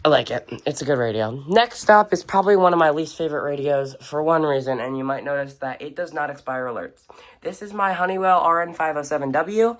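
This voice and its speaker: Casual, halting radio collector showing off gear. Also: medium pitch. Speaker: video host and weather radio collector. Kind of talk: casual unscripted show-and-tell narration. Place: indoors, in a room with shelves of radios.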